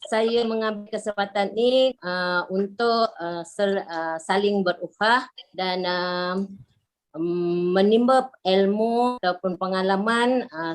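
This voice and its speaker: A retired woman in her sixties from Malaysia with a Malaysian Malay accent, introducing herself on a video call in a halting way, full of fillers. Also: medium pitch. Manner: slow, halting, many fillers. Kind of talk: online group video call.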